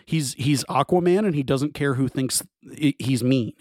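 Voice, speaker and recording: Animated rambling male podcast talker. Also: mid-range voice, moderate pace, no room sound, clean studio sound. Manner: enthusiastic, rambling with many restarts. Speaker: podcast co-host. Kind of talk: casual film discussion.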